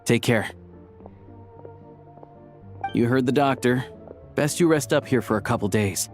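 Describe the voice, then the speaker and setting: A man playing a steady, considerate companion in a video game. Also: mid-range voice, unhurried, faint music behind, lightly acted. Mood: calm, caring.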